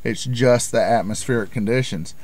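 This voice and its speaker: A man's voice: medium pitch, normal speed, a little mellow, no room sound. A middle-aged American man giving an informal, plain-spoken explanation in the manner of a hobbyist vlogger. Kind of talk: informal explanatory talk to camera.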